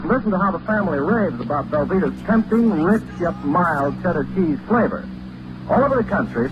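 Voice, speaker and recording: A man announcing in polished, salesmanlike 1940s radio commercial style. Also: a little high. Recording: dull old radio recording with music.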